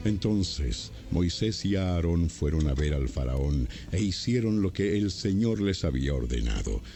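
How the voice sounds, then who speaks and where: A man's voice: rumbling low, vocal fry. A man reading scripted narration for a dramatized audio Bible. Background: music.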